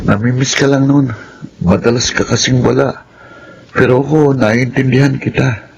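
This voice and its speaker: A man's voice: mid-range voice, steady tone, normal speed, gravelly, highs cut off, slight background noise, close to an everyday voice. A man playing a kind, understanding teenage son in a radio drama, mild and patient.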